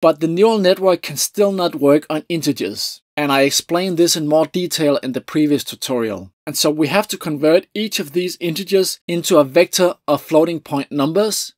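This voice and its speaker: A man with a Danish accent giving a calm, methodical coding lesson over a screen recording. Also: fairly high pitch, normal speed, few pauses, no echo, clean studio sound. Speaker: tutorial presenter.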